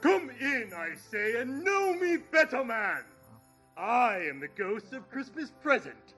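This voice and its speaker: A man's voice: very high-pitched, sing-song, takes it slowly, bright, forceful tone, soft background music, full character voice. A man playing a jolly, hearty giant spirit in a theatrical 1930s-style radio play. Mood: jovial.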